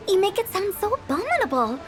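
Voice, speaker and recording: A woman's voice: very high voice, exaggerated voice. An actress voicing a playful, mischievous young female funeral parlor director with a cheeky, morbid sense of humor, in a game. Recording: game soundtrack music behind.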